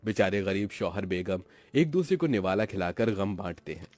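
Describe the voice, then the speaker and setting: A man's voice: low voice, expressive, moderate pace, darkish tone, no room sound. A man narrating a cartoon family story in a dramatic scripted storytelling style.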